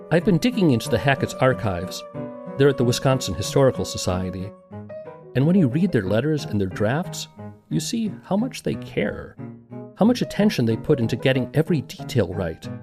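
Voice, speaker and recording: A man narrating a scripted documentary podcast in a conversational, wry manner. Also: mid-range voice.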